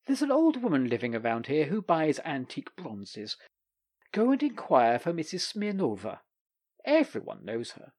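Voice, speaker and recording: A man voicing a matter-of-fact male hairdresser in a storybook reading, calm and advising. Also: a little high, moderate pace.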